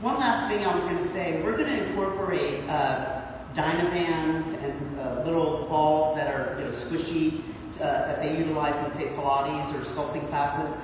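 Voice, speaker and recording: A woman in her sixties with a low, husky voice, guiding a workout class and counting reps with encouragement. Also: moderate pace, background noise. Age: 60s. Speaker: fitness instructor. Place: large gym. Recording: echoey hall, mic far off.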